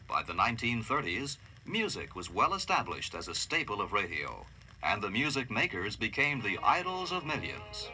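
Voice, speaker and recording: An American man narrating a scripted radio history documentary in a formal, measured, dramatic way. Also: medium pitch, pushed and bright, low-quality recording.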